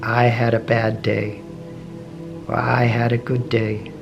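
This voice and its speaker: Slow, gravelly man guiding meditation. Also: medium pitch, completely flat delivery, dull audio. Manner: gentle, measured, with long pauses between short phrases. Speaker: meditation teacher. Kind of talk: guided meditation. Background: soft meditation music.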